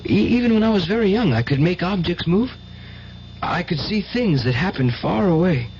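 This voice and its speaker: A man's voice: high-pitched, animated, moderate pace, a little mellow. An actor playing a fervent, tormented young man with psychic powers in an old-time radio drama, earnest and intense. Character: fervent, tormented young male psychic nephew, devoutly religious. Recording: dull, hissy old radio recording.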